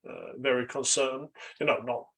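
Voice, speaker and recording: A middle-aged man from Sweden speaks reflectively as an interview guest, pausing often and checking in with "right?". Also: medium pitch, lively intonation, gravelly, fairly bright tone. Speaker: podcast guest, life coach.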